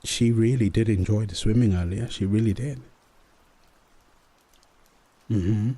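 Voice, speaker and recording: A man's voice: on the low side, a little slow, dark tone, husky, no echo. A man in an intimate comfort roleplay as a husband and father, speaking tenderly and soothingly.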